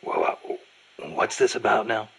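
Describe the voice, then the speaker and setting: A man playing a gruff, fatherly veteran soldier in a video game, gravelly and raspy, speaking in a measured, reassuring way. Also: lowish voice, little pitch movement, a bit bright, exaggerated voice. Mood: awkward. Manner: measured, reassuring, halting on awkward subjects.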